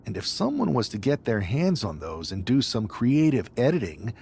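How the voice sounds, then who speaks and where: A husky-voiced male wrestler plotting strategy in a video game story scene. He is confident and scheming, and he lays out his plans in a measured, mid-pitched voice. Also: wildly swooping pitch, darkish tone, full character voice. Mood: sly, determined. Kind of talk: video game cutscene dialogue.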